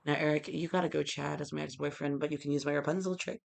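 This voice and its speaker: Young man, rapid-fire rambling vlog, gravelly fry, sudden loud bursts. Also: on the high side, fairly even intonation. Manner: disjointed, with sudden loud outbursts. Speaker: vlogger. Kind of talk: rambling monologue to camera.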